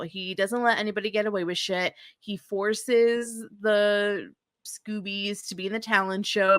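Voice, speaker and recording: A woman speaks in a bright, forward voice with a very dry, close sound. She sounds informal and opinionated, like a podcast host chatting through a TV recap. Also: mid-range voice, dry recording. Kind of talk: casual TV recap chat.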